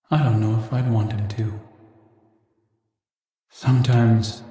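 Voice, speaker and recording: A world-weary, thoughtful nobleman, played by a man in a video game, with a fairly low, dark voice. He confides his regrets slowly and ruefully, surrounded by heavy echo. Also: close to an everyday voice. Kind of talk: reflective video game monologue. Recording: very echoey voice.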